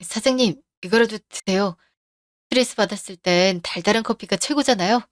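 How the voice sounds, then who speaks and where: A woman's voice: medium pitch, brisk, dry recording, faint music behind, lightly acted. A woman voicing a polite, upbeat young female shop employee in a radio drama. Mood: chatty.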